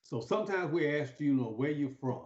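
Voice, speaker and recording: A man's voice: on the high side, animated, a little fast, darkish tone, slight background noise. Male host in an echoey room. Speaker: interview host. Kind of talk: conversational interviewing. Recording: dull, echoey room livestream audio.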